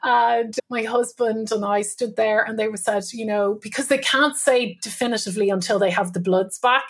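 A woman's voice: on the high side, fairly even intonation, normal speed. A middle-aged Irish woman talking as a podcast guest, chatty and candid, often laughing in colloquial speech. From Ireland. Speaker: guest, business owner and mother. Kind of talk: podcast interview, candid storytelling.

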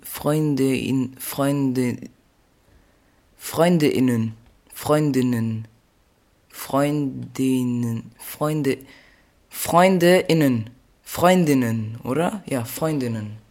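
A man's voice: mid-range voice, very slow, darkish tone. A teenage boy hosting a solo podcast, rambling playfully, correcting himself and losing his train of thought. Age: teens. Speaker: podcast host.

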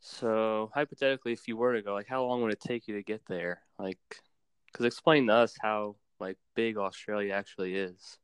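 A man hosting a podcast in casual chat, relaxed and loose, with plenty of fillers and restarts. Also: fairly low pitch, normal speed, smooth, dry recording. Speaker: podcast host.

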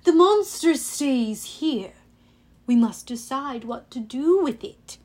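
Storybook villain: woman plays a bitter, cursed old man in charge, drawn-out, sneering, higher-pitched delivery. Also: animated, dark tone, heavily acted. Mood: disdainful. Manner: sneering, muttering, menacing.

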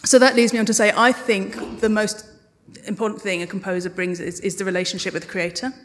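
A woman's voice: medium pitch, little pitch movement, a little fast, a bit bright. A woman speaking as a guest in a live interview, reflective and earnest. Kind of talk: thoughtful live panel interview. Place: live podcast festival stage.

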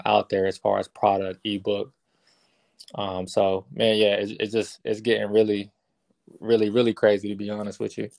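Man in his thirties, low gravelly voice, flat delivery, casual interview guest, dry sound. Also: no echo. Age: 30s. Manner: relaxed, many fillers and restarts. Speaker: guest, real estate investor.

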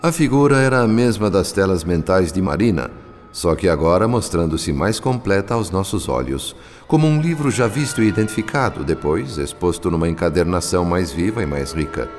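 A man with a Brazilian accent narrating a literary radio drama in a measured, theatrical way with precise diction. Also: sits low, lively intonation, darkish tone. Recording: long reverb, music underneath. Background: music.

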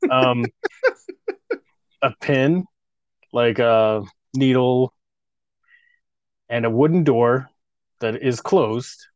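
A man's voice: medium pitch, very slow, pauses often, darkish tone, no room sound, dull audio. A man in his thirties co-hosting a casual podcast, joking crudely and laughing often. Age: 30s. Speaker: podcast co-host.

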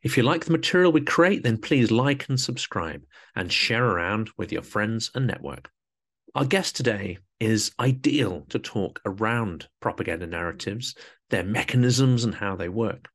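A man hosting a podcast, who reads a prepared introduction in a measured, formal way and then puts questions to his guest. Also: fairly low pitch, animated, a bit bright. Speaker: podcast host.

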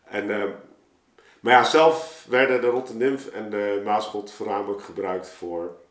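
A man's voice: on the low side, steady tone, unhurried. A man telling stories casually as a podcast guest, rambling and colloquial as he thinks aloud. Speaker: podcast guest, audio drama maker. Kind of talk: casual chat, informal storytelling. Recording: roomy, echoey space.

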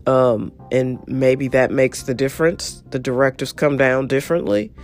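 A woman hosting her show alone, husky and a little nasal from congestion. She talks bluntly and with energy, and she swears casually. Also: extremely low pitch, moderate pace, a little mellow, faint music behind. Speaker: show host. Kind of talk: opinionated solo monologue.

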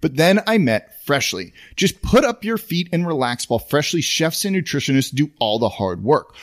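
A man with a slightly high, animated voice delivers a scripted commercial. He sells it conversationally, like a relatable personal testimonial, with lots of movement in his intonation. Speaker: advertisement presenter.

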